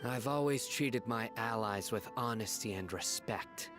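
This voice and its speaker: An actor playing a stern, cautious former law enforcer in a video game drama, speaking in a grave, formal, measured way. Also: male voice, mid-range voice, lightly acted. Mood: serious, principled. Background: music.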